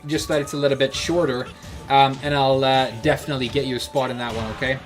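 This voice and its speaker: A man's voice: medium pitch, little pitch movement, fast talker. A Swedish man with a Gothenburg accent chats with his stream audience between matches. He talks in a joking, rambling way, with a mid-range voice. Faint music plays behind him. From Sweden. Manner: joking, chatty, slips into mock voices. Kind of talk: casual chat with viewers while gaming.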